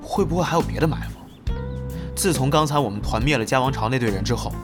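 A man's voice: mid-range voice, lively intonation, quick pace, over music, close to an everyday voice. An actor playing an eager male teammate who looks up to his team leader in an audio drama.

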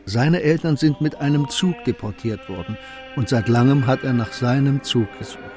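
A kindly older man played by an actor speaks as a wise mentor in a radio drama. His mid-pitched voice is calm and consoling, patiently explaining, with clear music behind it. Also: moderate pace, natural voice. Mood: gentle, grave. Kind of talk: radio play dialogue.

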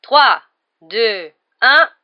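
A woman's voice: sits high, lively intonation, brisk, dull audio, pitched above the performer's own voice. A woman voicing a stern female school referee who barks orders with authority in an audiobook. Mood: firm, commanding. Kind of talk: audiobook character voice.

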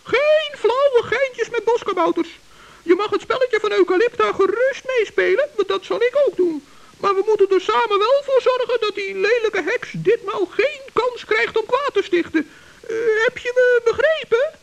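A woman's voice: very high-pitched, normal speed, gravelly, lo-fi sound. A woman voicing a cheerful, brave male forest gnome in an old children's radio play, chatty and earnest. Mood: chirpy.

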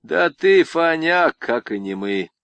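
A man's voice: on the high side, expressive, slow, vocal fry, fairly bright tone, smooth, dry recording, voice pushed up, heavily acted. Audiobook: male reader voicing a plain-spoken, disapproving country convict, dry and close. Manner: blunt, grumbling, matter-of-fact.

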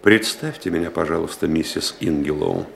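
An actor playing a composed, probing doctor who assists the police in a radio play, politely insistent. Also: male voice, a bass voice, moderate pace, creaky, exaggerated voice. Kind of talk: Russian radio play acting. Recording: radio play with music underneath.

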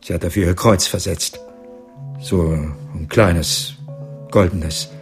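Elderly, guilt-laden patriarch in a radio crime play: slow, heavy, halting, lowish voice. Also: male voice, steady tone, faint music behind. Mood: grave, grieving. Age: older. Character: aged, grief-stricken father figure burdened by wartime guilt. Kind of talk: German radio crime drama acting.

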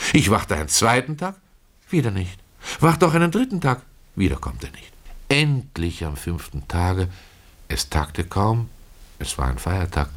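A man with a low voice narrates a radio play as its first-person storyteller. His delivery is literary and expressive. He moves deliberately through the phrases and leaves pauses between them.

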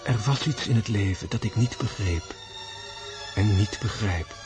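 Radio drama officer, male actor, introspective and brooding, deepish voice, reflective monologue with music underneath. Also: unhurried, natural voice. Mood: pained, brooding. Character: brooding, introspective army officer prince facing death.

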